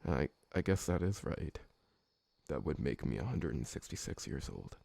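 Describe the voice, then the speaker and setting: A man playing a gentle, awkward, polite old vampire servant who talks hesitantly and deferentially in an ASMR roleplay. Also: a bass voice, sing-song, moderate pace, vocal fry, husky, dry recording, heavily acted. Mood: friendly, reflective. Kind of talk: ASMR roleplay audio drama.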